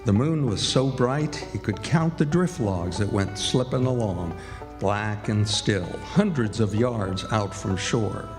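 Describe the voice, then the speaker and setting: A man narrating a scripted radio drama in a measured, literary storytelling style. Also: fairly low pitch, music in the background. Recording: live stage hall with reverb.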